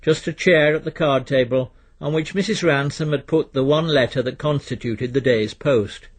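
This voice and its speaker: Clear-voiced man narrating a story, slightly husky. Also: medium pitch, moderate pace. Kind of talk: scripted literary audiobook narration.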